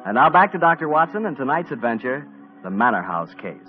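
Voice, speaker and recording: A man announcing for an old radio drama, with a bright, salesy delivery. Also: medium pitch, big pitch swings, fairly quick, faint music behind. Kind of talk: scripted announcing. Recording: tinny 1940s radio broadcast.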